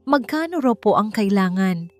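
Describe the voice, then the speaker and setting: A woman's voice: mid-range voice, lively intonation, normal speed, dry recording, voice pushed up, lightly acted. A woman narrator plays a young single mother in a romance story, strained and pleading, full of fear and bitterness. Music sits faintly behind the voice. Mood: fearful.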